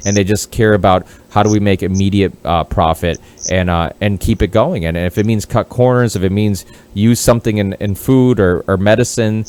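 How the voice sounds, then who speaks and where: A man's voice: lowish voice, normal speed, few pauses, a little mellow, smooth. A Portugal-born man hosting a casual podcast, talking in a rambling, profane way with many restarts. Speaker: podcast host.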